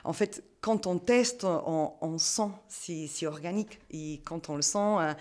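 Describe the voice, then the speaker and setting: A woman's voice: on the low side, unhurried, a little mellow. A middle-aged woman, a physiotherapist, talking casually in an interview, chatty, with many fillers and restarts. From France. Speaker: guest, physiotherapist.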